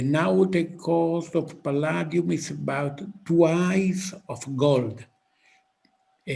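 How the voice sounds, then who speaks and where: Slow gravelly Italian-accented man. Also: fairly high pitch, darkish tone. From Italy. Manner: slow, halting, thinking aloud. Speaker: physicist guest. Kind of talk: interview answers.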